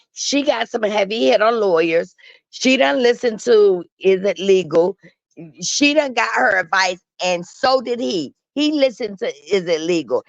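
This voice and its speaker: A woman's voice: mid-range voice, no echo. A woman hosting a casual gossip show, giving opinionated commentary in an emphatic, sassy, colloquial way. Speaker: talk show host. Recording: dull, lo-fi online audio.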